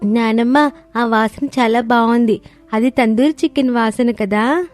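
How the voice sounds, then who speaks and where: A woman's voice: a little high, measured pace, a little mellow, close to an everyday voice. A woman voicing an eager, pleading young child in a cartoon family story. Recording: music bed under voice.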